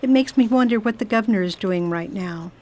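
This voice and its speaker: A woman playing a brave, brisk lady traveler in a radio drama, with a commanding manner. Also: mid-range voice, darkish tone, natural voice. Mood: reflective. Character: firm, brave lady traveler nursing a patient.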